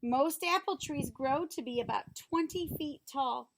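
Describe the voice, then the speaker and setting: A woman reads aloud to young children in a high voice with a noticeable creak. She takes her time, moving between the book's text and simple, instructional asides and questions. There is faint noise behind her. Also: rough recording. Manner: simple, instructional, pausing to explain and ask questions. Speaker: teacher reading a picture book aloud to young children.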